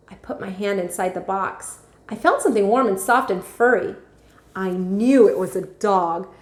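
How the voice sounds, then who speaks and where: A woman narrating a children's story aloud like a teacher, expressively and with clear phrasing. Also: medium pitch. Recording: echoey room.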